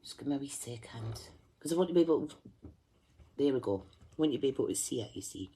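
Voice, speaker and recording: Lady doing a card making tutorial, breathy voice, chatty, hums while she colours. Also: extremely low pitch, lively intonation, fast, warm tone. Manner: chatty, thinks aloud, hums while concentrating. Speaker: craft tutorial host. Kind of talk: live colouring demonstration.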